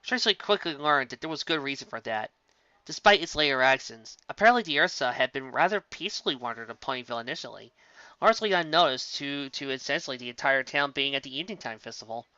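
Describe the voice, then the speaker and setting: Bright, forceful story narrator, dry sound. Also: mid-range voice, animated, fast, no echo, muffled recording. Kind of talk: scripted narration of fan fiction story.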